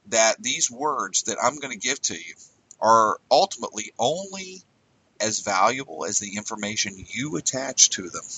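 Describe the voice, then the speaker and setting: Man, late 40s, conversational writing coach, husky edge, set slightly off-mic. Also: mid-range voice, sharp tone, recorded from a distance, poor audio. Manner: conversational, emphatic, a bit exasperated. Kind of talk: casual lecture on headline writing.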